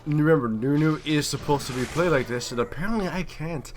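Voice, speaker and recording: A man's voice: fairly high pitch, fast, a little hiss or hum. A man giving casual, opinionated gaming commentary in a slangy, profane way. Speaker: gameplay commentator and player.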